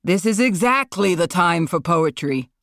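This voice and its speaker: A woman reading as a gruff, pompous older man, a famed actor in a literary story. He sneers and declaims, captured in a close, dry recording. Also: on the low side, animated, measured pace, fairly bright tone, no echo, heavily acted. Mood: loud declaiming.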